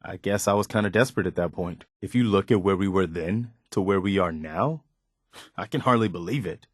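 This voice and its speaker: An actor playing an earnest, loyal militia lieutenant in a video game, sincere, respectful and soldierly. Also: male voice, sits low, fairly quick, dry recording, studio quality. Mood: heartfelt. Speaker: companion character in a video game.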